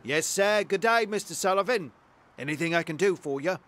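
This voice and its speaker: An eager male attendant from a radio drama. His voice sits fairly high and he is polite and excitable, with faint ambient noise behind him. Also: a little fast. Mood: eager, obliging. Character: eager, deferential male parking and hotel attendant.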